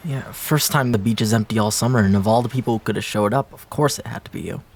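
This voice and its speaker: A man acting a wistful young ex-boyfriend in an intimate roleplay audio drama, speaking casually and hesitantly, with pauses and trailing phrases. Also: on the low side. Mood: guarded surprise.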